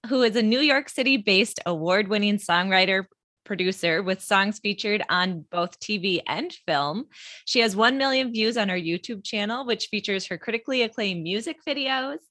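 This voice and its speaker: A woman's voice: mid-range voice, animated, normal speed, pushed and bright, no echo, clean studio sound. A woman hosting an interview, upbeat and encouraging toward her guest. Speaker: podcast host.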